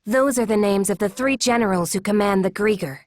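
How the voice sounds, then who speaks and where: A woman's voice: medium pitch, brisk, a little mellow, dry recording, lightly acted. A woman acting a resolute young warrior, close and dry. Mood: serious. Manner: terse, businesslike. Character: resolute, no-nonsense young female Norman warrior. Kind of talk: video game cutscene acting.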